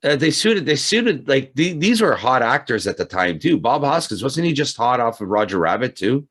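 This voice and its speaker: Male host, medium pitch, animated delivery, agreeable and casual film talk. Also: normal speed, breathy edge.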